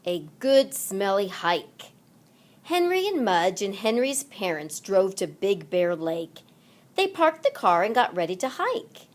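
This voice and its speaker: A woman reads a children's story aloud at an unhurried pace. Her medium-pitched voice rises and falls in a lively way. The delivery is deliberate and expressive, suited to young listeners following along.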